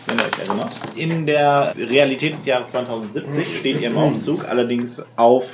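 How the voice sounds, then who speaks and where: A man's voice: mid-range voice, normal speed, background noise. A man speaking as game master, narrating and chatting casually in stop-and-start phrasing. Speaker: game master and podcast host. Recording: music behind the voice.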